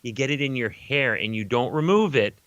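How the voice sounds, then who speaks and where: A man's voice: medium pitch, lively intonation, fairly quick, fairly bright tone, no echo, muffled recording. A middle-aged man co-hosting a comedy show, telling rambling, exclamatory stories in casual chat. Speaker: co-host of a live comedy film-riffing show. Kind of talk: casual chat, comic storytelling.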